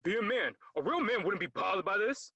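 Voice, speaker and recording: Actor as a harsh, high-strung bullying man. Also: animated, a little fast, sharp tone, slightly rough, no echo, dull audio, heavily acted. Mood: scornful. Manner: berating, barking. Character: harsh, bullying man barking at a grieving youth. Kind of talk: dramatic play acting.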